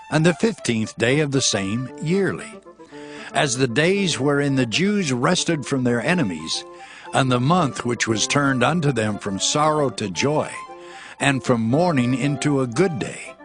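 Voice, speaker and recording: A solemn official of the ancient world, formally proclaiming a decree in scripture drama. His medium-pitched voice moves expressively over prominent music. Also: lively intonation, unhurried. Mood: solemn, celebratory. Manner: declamatory, formal. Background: prominent background music.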